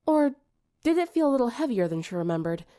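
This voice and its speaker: A woman's voice: on the high side, sing-song, fast talker, mellow. A woman reading scripted fiction aloud as an audiobook narrator.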